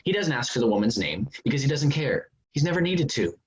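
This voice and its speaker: A man's voice: medium pitch, big pitch swings, fairly quick, close to an everyday voice. A man playing a smug, defensive amateur novelist in a staged play reading. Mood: defensive, earnest. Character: smug, self-justifying male amateur novelist, defensive about his sleazy book. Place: remote virtual staged reading. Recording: dull, noisy online call audio.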